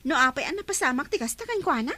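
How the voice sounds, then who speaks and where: A woman's voice: sits high, very animated, fast talker, pushed and bright, full character voice. A woman with a Filipino accent playing a spoiled, disrespectful young daughter in a radio drama. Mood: haughty, scornful.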